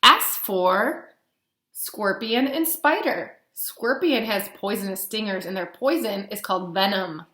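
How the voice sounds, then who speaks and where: A woman in her thirties reading a picture book aloud as a teacher, animated and explaining things simply to young children. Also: medium pitch, a little slow. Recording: roomy echo.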